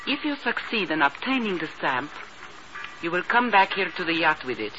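A proud, coolly threatening informant in 1950s radio drama style. Her delivery is deliberate and formal but strongly inflected, on a dull, noisy vintage recording. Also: lowish voice, very animated. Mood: coldly determined.

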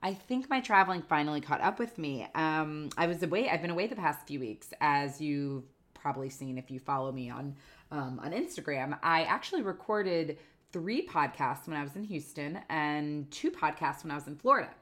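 A woman's voice: low-pitched, lively intonation, normal speed, a bit bright. A woman hosting a podcast, getting over a cold with a slightly nasal voice, chatting casually with lots of fillers and easy laughs. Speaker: podcast host. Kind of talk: casual chat. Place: apartment in New York City.

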